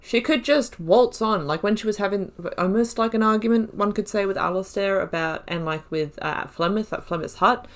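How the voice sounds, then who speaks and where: A woman's voice: mid-range voice, moderate pace, hardly any pauses. A woman hosting a game playthrough, chatting casually, swearing and reading dialogue choices aloud. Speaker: gaming video host. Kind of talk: casual let's play commentary.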